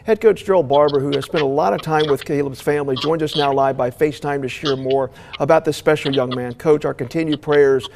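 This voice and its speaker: An American man anchors morning television news. His voice sits a little higher than most men's. He delivers stories and handles live interviews in a broadcast news style. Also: fairly even intonation, fairly quick, creaky, few pauses, noisy background.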